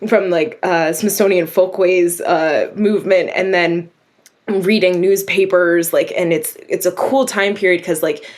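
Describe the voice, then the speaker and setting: Lower-voiced woman, chatty and enthusiastic. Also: fairly even intonation, a little slow. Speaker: radio drama writer, interview guest. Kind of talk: casual interview storytelling.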